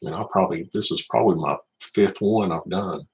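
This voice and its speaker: Deep-voiced Southern US man. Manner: unhurried, addresses viewers by name. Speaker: livestream host, card collector. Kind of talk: casual livestream chat.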